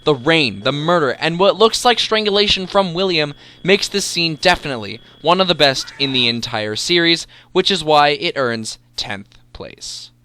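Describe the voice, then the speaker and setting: A man's voice: on the high side, lively intonation, normal speed, hardly any pauses, sharp tone. A man hosting a video essay, narrating a scripted countdown with enthusiasm and emphatic, dramatic delivery. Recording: voice-over with background music. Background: music.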